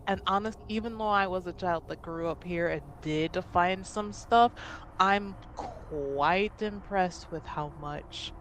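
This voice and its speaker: A woman's voice: on the low side, takes it slowly, fairly bright tone, slight background noise. A woman playing a wry, fond adult relative who teases a child in a tabletop game.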